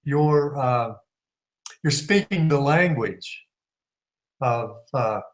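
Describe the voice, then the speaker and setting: Hesitant man, mid-pitched voice. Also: lively intonation, extremely slow, low-quality recording. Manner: hesitant, many "uh"s, speculative. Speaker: guest. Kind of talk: conversational talk show.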